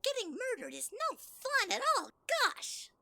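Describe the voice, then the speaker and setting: Woman doing a sickly sweet evil flower guy, teasing, super high and sharp, voice jumps all over. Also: big pitch swings, measured pace, no room sound, heavily acted. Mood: sugary. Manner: syrupy, teasing, swings high and low. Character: sweet-talking, sinister male flower. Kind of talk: fan audio drama.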